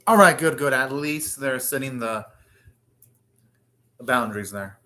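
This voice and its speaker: A man with a medium-pitched voice gives live reaction commentary as an anime host. He speaks casually, rambling a little as he thinks aloud in short remarks. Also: measured pace, pushed and bright.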